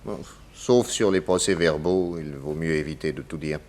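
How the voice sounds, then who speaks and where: An actor playing a calm, authoritative male detective in a 1960s French radio drama, deliberate and courteous. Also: a bass voice. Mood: assured, analytical.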